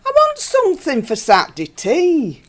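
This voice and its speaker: A woman's voice: high-pitched, wildly swooping pitch, a little slow, exaggerated voice. A woman acting a weary, put-upon Yorkshire housewife in a radio play, with a dry, grumbling way of talking. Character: weary, put-upon married northern English housewife, unimpressed.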